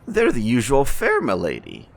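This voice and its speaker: Man playing a gravelly, animated vampire-world flesh trader. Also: mid-range voice, moderate pace, exaggerated voice. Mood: salesmanlike. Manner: folksy, obliging salesman's patter. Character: deferential, businesslike male trader in human blood volunteers. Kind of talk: ASMR audio roleplay acting.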